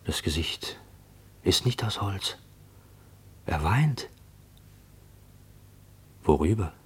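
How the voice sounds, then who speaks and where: A low male voice tells a radio play from the inside. a haunted, watchful man, brooding and reflective as he narrates his thoughts. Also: lively intonation, very slow, husky, heavily acted. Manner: brooding, reflective inner monologue.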